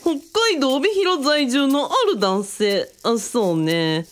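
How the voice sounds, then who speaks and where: A woman's voice: on the high side, very animated, slow. A woman playing a self-assured, worldly female mentor who talks in a teasing, feminine way in a radio drama. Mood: confiding.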